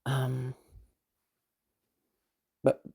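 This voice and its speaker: A woman playing a teasing, self-assured, sardonic yet protective young woman in a listener roleplay, rambling and halting as she talks. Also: extremely low pitch, completely flat delivery, gravelly, mellow, husky, voice pushed down, heavily acted. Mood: flustered, reassuring. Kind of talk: roleplay audio drama addressed to the listener.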